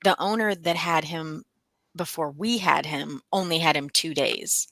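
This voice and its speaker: Casting: middle-aged woman, bright but crackly voice, halting conversational storyteller. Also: low voice, no echo. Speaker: co-host of a book readalong livestream. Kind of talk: casual chat, personal storytelling.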